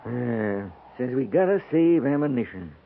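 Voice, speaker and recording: An actor playing a resigned frontier soldier with a rural American accent, dry and laconic, in a 1950s radio drama. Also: male voice, medium pitch, lively intonation, measured pace, creaky. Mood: wry, resigned. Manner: laconic, wry. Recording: tinny, band-limited, noisy 1950s radio recording.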